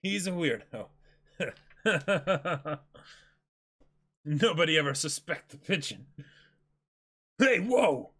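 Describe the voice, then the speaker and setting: Lively, higher-voiced young man reading. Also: slow pace, pauses often, slightly rough. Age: 20s. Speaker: co-host of a dramatic reading. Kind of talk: casual chat and character reading. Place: small indoor room, seated before a backdrop.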